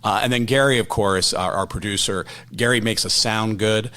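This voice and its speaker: A man's voice: on the low side, fairly even intonation, moderate pace, bright, forceful tone. A middle-aged man from Philadelphia co-hosting a podcast, joking and self-deprecating but curious. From Philadelphia, USA. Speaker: podcast co-host. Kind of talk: casual podcast chat.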